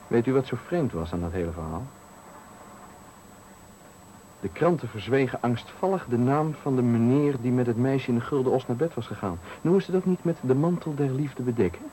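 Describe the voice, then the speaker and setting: An actor in a Dutch radio play playing a taunting, menacing stranger who speaks with deliberate, needling politeness in a Netherlands accent. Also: male voice, medium pitch, lively intonation, warm tone, lightly acted. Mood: insinuating. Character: menacing, taunting male stranger cornering a man at night. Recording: faintly noisy 1980 radio recording.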